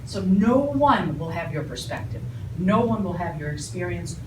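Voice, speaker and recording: Animated woman in an echoey room. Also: lowish voice, normal speed, recorded from a distance. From former Soviet Union. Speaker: public speaking trainer. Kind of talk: storytelling talk to a group. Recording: echoey room heard from afar, noisy.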